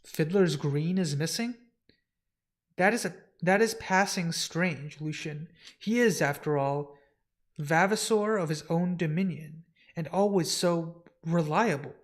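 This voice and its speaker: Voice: mid-range voice, unhurried, lightly acted. A reader as a solemn, brooding dream lord. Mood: grave, troubled. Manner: stately, formal, archaic phrasing. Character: solemn, brooding, lordly male ruler of dreams.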